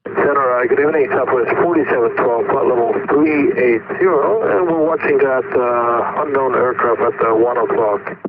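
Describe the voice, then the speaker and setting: A man's voice: mid-range voice, moderate pace. A man, an airline pilot, making hesitant, casual, matter-of-fact reports to air traffic control over cockpit radio. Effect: cockpit radio transmission. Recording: tinny narrow radio link, heavy static.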